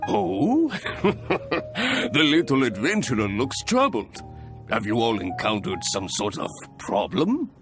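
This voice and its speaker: A boastful, hearty adventurer of a guild master in a video game. He speaks slowly and grandly, with huge swings of pitch and theatrical cheer. Clear music runs underneath. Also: wildly swooping pitch. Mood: hearty, curious.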